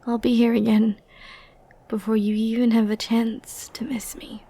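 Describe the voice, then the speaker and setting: A woman playing an ancient, flirty and dangerous banished villainess in an intimate ASMR roleplay, breathy and often near a whisper, with tender, brooding, dramatic pauses. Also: on the high side, level tone, mellow, pitched below the performer's own voice. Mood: devoted, soft.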